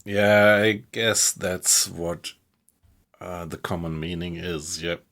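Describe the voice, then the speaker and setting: A man with a German accent chatting casually with viewers while playing a game, in a relaxed, hesitant way. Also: low voice, slow. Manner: relaxed, hesitant, often chuckling. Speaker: game streamer.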